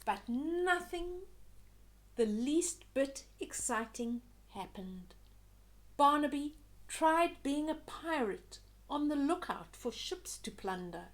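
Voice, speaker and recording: Older lady reading a kids story, high lively voice, takes her time, chats about the pictures. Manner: animated, deliberate, chatting to young listeners about pictures. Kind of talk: expressive read-aloud children's storytelling.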